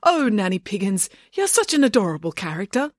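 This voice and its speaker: A fussy, scheming nanny from a storybook, put on by a woman reader. Her voice sits high and swings about, prim and critical under a layer of false sweetness. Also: big pitch swings, brisk, dry recording, clean studio sound. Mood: falsely sweet. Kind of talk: children's storybook villain voice.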